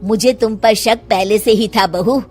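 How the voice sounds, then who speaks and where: A woman voicing a patient but firm middle-aged mother-in-law in a cartoon, speaking lower and steadier. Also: unhurried, soft background music, natural voice. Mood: stern.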